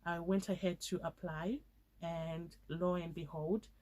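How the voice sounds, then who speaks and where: Woman in her 20s from Zimbabwe, interview guest, earnest storyteller, lowish voice. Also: fairly even intonation, a little slow, darkish tone. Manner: earnest storytelling, acts out quoted speech. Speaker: guest, accountant. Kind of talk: interview answers.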